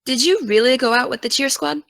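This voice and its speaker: A young American woman playing an excitable, teasing teenage aspiring journalist in naturalistic, casual audio drama dialogue. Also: high voice, fast, creaky, no echo, studio quality.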